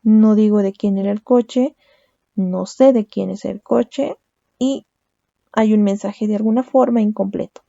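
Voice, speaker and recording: A woman's voice: mid-range voice, unhurried, gravelly, dark tone, no room sound, lo-fi sound. A young woman teaching a language lesson, explaining step by step and often checking with a questioning "¿no?". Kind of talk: recorded lecture.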